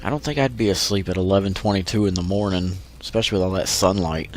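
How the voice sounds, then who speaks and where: A man's voice: sits low, fast, a little mellow. A man with a stuffy nose from allergies, giving laid-back, joking commentary over gameplay. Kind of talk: let's play commentary.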